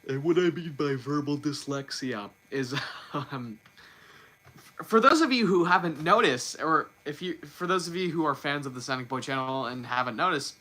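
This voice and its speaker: A man's voice: on the high side, normal speed, sharp tone. A man hosting a casual livestream and talking off the cuff. He stumbles, restarts his sentences and repeats words often. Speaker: livestream host.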